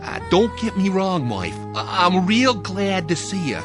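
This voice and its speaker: Animated, high-pitched diner owner. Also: male voice, measured pace. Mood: concerned, gruff. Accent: American. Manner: hesitant, colloquial, questioning. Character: bustling, gruffly caring male diner owner. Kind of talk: noir radio drama acting. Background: faint music.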